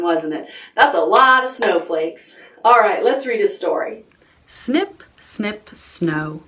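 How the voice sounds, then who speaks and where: A woman's voice: mid-range voice, roomy sound, far from the mic. A woman librarian leading preschool storytime, sing-song and encouraging as she talks to small children. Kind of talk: preschool storytime with songs and reading.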